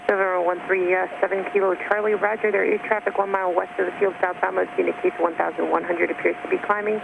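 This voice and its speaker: A man's voice: high voice, flat delivery, quick pace, gravelly. A male air traffic controller giving clipped instructions over an aviation radio. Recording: crackly narrow aviation radio with hiss.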